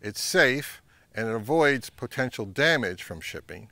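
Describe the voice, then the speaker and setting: A middle-aged man giving a measured, instructional talk to camera as a vlog host, recorded outdoors. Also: medium pitch, sing-song, pushed and bright, dry recording. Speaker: vintage audio channel host. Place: outdoors by a pond and on a wooded trail.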